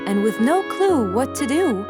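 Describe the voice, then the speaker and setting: Lively woman storyteller over loud music. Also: on the high side, moderate pace. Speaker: narrator. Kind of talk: scripted children's story narration.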